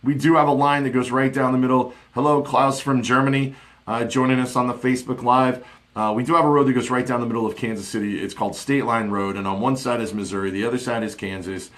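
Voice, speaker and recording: A man's voice: mid-range voice, steady tone, fast. A man in his forties hosting a casual live stream, rambling and chatty with plenty of fillers, speaking into a desk studio mic in a small home office. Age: 40s. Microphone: studio mic on desk with headphones.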